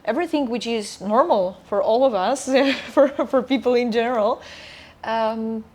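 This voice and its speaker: A woman's voice: fairly high pitch, a little slow, a little mellow. Reflective Romanian woman, a soprano guest. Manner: reflective, hesitant, many fillers and restarts. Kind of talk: casual interview chat.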